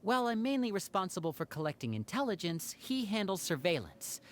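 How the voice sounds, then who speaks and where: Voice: medium pitch, sing-song, a little fast, a little hiss or hum, close to an everyday voice. An actor voicing a friendly, charming young stage magician in a game.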